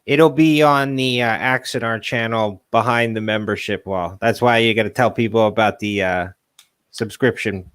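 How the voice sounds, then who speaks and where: A man's voice: lowish voice, steady tone, moderate pace, a bit bright, clear, resonant tone, no echo. A man acting as co-host on a live stream, adding casual explanatory remarks. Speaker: co-host chiming in.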